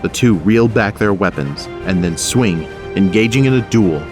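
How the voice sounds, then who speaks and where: A man's voice: on the low side, lively intonation, moderate pace, over loud music. A man narrating an action audio drama from a script.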